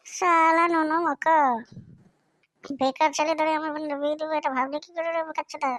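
A hot-headed young cartoon man, played by a woman, pitched high and loud. He scoffs and scolds. The sound is close and dry. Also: flat delivery, a little fast, no room sound. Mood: angry, scornful. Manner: loud, scornful, foul-mouthed. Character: hot-headed, mocking young man guarding his sister's marriage. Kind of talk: comic cartoon dialogue.

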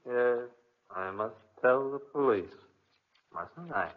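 An actor playing a drugged, dazed man lost in madness, speaking in a vacant, halting, repetitive way in a 1940s radio drama. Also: medium pitch, expressive. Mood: dazed, eerie. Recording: tinny, thin 1940s radio recording.

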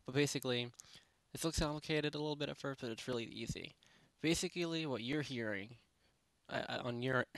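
A man narrating a software tutorial in a casual, unscripted, rambling way, speaking informally with lots of filler phrases. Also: medium pitch, gravelly. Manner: rambling, informal, repeats "basically" and "you know". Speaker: tutorial presenter. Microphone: close headset microphone.

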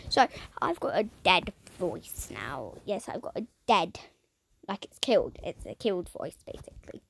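A woman giving playful, dramatic commentary over a video game, her voice croaky and strained. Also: lowish voice, big pitch swings, normal speed, a little hiss or hum. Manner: dramatic, silly, swings between shouting and whispering. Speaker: gaming YouTuber.

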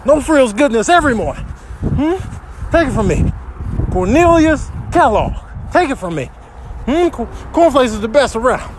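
Indignant old cartoon rooster, played by a man: very high voice, scolding rambles, noisy outdoor clip sound. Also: big pitch swings, normal speed. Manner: scolding, rambling, punctuated with questioning grunts. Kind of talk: comic animal voiceover.

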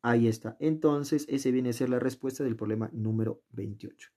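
A man's voice: mid-range voice, fairly even intonation, normal speed, warm tone. A man tutoring geometry in a casual, chatty way, often asking the viewer questions and talking himself through the steps. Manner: chatty, asks the viewer questions, talks to himself while solving. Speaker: math tutor. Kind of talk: casual worked-problem lesson. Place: indoors at a desk, filming the worksheet from above.

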